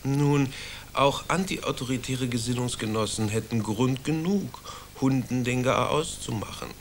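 A sly, scheming investigator, played by a man in a radio play. He talks smoothly and ironically at a middle pitch, leading his listener on, over a faint hiss. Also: measured pace, close to an everyday voice. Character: sly, scheming male special-commission investigator.

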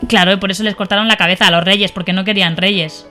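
Bright-voiced woman hosting kids' radio. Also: medium pitch, steady tone, soft background music. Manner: playful and teasing, drawn-out words. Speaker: radio host for children. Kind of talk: playful radio chat. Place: radio studio.

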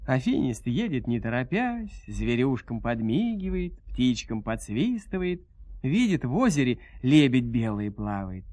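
A man narrating a dramatized fairy tale in an expressive, folksy storytelling manner. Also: mid-range voice, unhurried.

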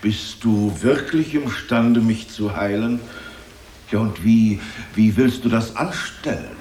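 A man's voice: on the low side, slow, darkish tone, slight background noise. A man playing a grave, commanding Tsar in a children's radio drama, speaking in a stately, imperious way. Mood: doubtful. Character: grave, commanding Tsar, father of grown daughter.

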